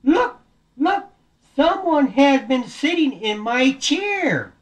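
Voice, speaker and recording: A storyteller voicing an excitable storybook father bear, emphatic and urgent. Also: high voice, slow pace, pitched above the performer's own voice, exaggerated voice. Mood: alarmed.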